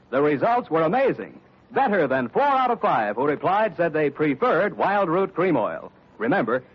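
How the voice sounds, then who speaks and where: Man, old-time radio announcer, polished sales pitch, husky voice, muffled vintage broadcast. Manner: polished, emphatic salesman delivery. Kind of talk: scripted commercial and credits announcing.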